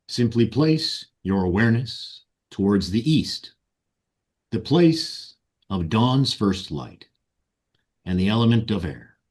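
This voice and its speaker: A man leading a guided meditation and ceremonial invocation in solemn, deliberate short phrases. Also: lowish voice, a little mellow. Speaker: meditation guide and ritual leader.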